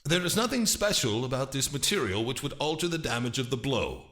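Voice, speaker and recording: A man playing a poised stage illusionist in an audiobook, formal and calmly assured as he addresses his audience. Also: medium pitch, a little fast. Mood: calm, showmanlike. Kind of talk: acted audiobook dialogue.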